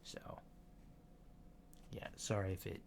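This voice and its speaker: A man playing a polite, curious alien doctor in a soft-spoken ASMR roleplay, talking in a halting, matter-of-fact way. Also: close to an everyday voice. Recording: lo-fi with clear background noise.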